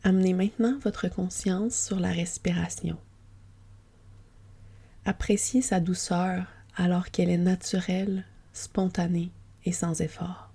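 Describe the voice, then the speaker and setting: Soft, breathy woman guiding sleep relaxation. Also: fairly low pitch, fairly even intonation. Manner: gentle, soothing, unhurried. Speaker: yoga nidra guide. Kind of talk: guided sleep relaxation, meditation narration.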